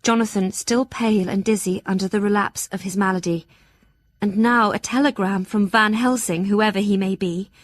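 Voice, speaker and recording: An actress voices a young Victorian gentlewoman reading her journal in an audio drama. She is earnest and anxious, measured and formal, with a middle pitch and a close, dry sound. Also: steady tone, no room sound, natural voice. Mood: troubled. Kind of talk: audio drama acting, diary narration.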